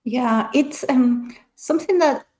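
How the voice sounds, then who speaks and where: A woman's voice: high-pitched, slow, mellow. A woman whose first language is Spanish, talking casually as a panelist in a book discussion, explaining her thoughts in a considered way.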